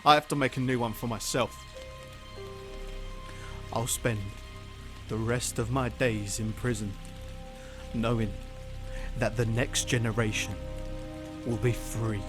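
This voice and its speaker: A man's voice: mid-range voice, fairly even intonation, takes it slowly, long pauses, over music, natural voice. A man playing a bitter, vengeful grieving father. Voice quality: bitter, despairing. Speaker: villain, Killian.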